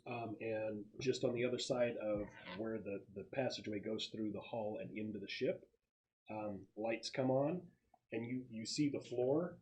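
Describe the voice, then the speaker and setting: A man running a tabletop game as game master, describing scenes off the cuff and pausing as he searches for words. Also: on the low side, darkish tone, highs cut off. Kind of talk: improvised tabletop game narration.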